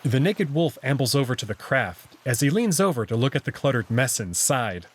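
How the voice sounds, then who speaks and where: A man's voice: mid-range voice, very animated, moderate pace, slightly rough. A man reading first-person story narration aloud in a scripted visual novel style.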